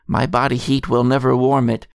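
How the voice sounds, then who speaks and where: A man playing a weary, secretive and resigned keeper of lore, delivering a measured, portentous dramatic monologue. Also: mid-range voice, flat delivery, gravelly, clean tone, dry recording, clean studio sound, lightly acted. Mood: resigned, grim. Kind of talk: scripted dramatic narration.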